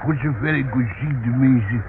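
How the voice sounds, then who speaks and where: An actor playing a grumpy old male tramp in a radio play, halting and repeating himself as he grumbles. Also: mid-range voice, natural voice. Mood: rambling. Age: older. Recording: muffled narrowband old radio recording, noisy.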